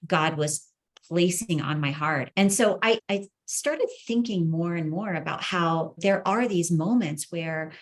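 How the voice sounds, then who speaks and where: A middle-aged woman with a slightly low voice talks as an interview guest. She is earnest and thoughtful, reflecting on her own experience and ideas in a conversational way. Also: measured pace. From grew up in the Middle East. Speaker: podcast guest, entrepreneur and coach. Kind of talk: reflective personal storytelling in an interview.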